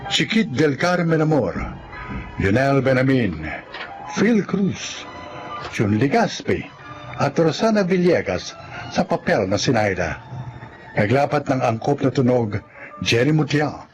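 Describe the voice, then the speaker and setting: A man announcing the scripted outro and credits of a radio drama in a measured, dramatic way. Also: medium pitch, big pitch swings. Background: music.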